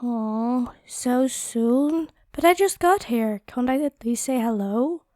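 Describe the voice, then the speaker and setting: Playful high-voiced fantasy warrior lady played by a woman. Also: expressive, slow, dark tone, dry recording, clean studio sound. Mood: bright, cheeky. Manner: casual, teasing, chatty. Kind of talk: scripted fantasy audio roleplay.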